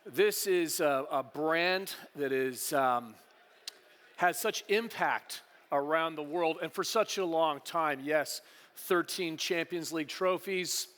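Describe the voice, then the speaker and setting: A man giving a business presentation at a conference, relaxed with joking asides and frequent fillers. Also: fairly high pitch, slow pace. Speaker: conference speaker, partnerships executive. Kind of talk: business presentation to a live audience. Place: conference hall. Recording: long hall reverb.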